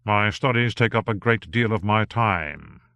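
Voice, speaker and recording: A man reading a polite, questioning gentleman magician. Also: on the low side, unhurried, gravelly, dry recording, full character voice. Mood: mild. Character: curious male gentleman magician, polite and mild. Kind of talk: audiobook character reading.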